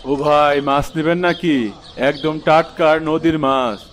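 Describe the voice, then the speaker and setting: A man's voice: a little high, steady tone, measured pace, slight background noise, close to an everyday voice. A man voicing an eager, coaxing village fish seller in a cartoon. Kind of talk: cartoon character acting.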